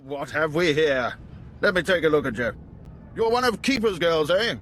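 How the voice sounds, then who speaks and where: Older male intelligence chief, genial and measured, fairly high voice, game dialogue over noisy ambience. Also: lively intonation, fairly bright tone. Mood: genial, weary. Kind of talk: video game dialogue acting.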